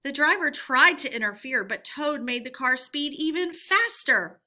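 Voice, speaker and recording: Bright, animated woman reading a story aloud. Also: high-pitched, a little slow. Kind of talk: expressive scripted storybook narration.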